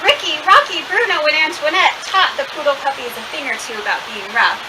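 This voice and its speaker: High, animated woman reading a picture book. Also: brisk. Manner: expressive, animated storytelling. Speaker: library story-time reader. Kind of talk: picture book read-aloud for young children. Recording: distant mic with loud steady hiss.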